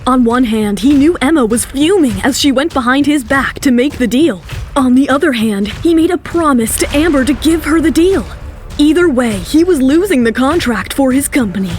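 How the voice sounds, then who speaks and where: A woman's voice: sits high, animated, moderate pace, a little mellow. A woman narrator reading scripted drama in a storytelling style. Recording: music bed under the voice.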